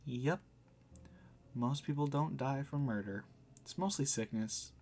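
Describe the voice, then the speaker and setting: A man's voice: medium pitch, a little slow, darkish tone, slight background noise, lightly acted. A man voicing a laid-back, wry and reassuring cafe barista in a visual novel. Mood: wry, matter-of-fact. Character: laid-back, dry, kindly cafe barista guiding the dead.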